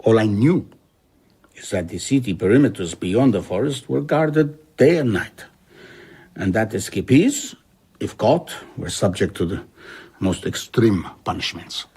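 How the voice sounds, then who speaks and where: An actor playing an older traveller in an audio drama, recounting his past with reflective, measured husky speech. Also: lowish voice, animated, warm tone, exaggerated voice. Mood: grave. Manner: measured, explanatory. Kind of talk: audio drama dialogue, storytelling.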